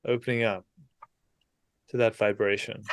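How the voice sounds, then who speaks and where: A man leading a guided meditation in a soothing, unhurried manner, leaving long pauses between short phrases. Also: fairly low pitch, level tone, clean tone, no room sound.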